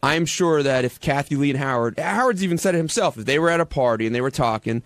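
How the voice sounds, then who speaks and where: A man in casual radio discussion, speaking haltingly as he thinks aloud. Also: fairly high pitch, lively intonation, no echo. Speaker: radio show staffer. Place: radio studio.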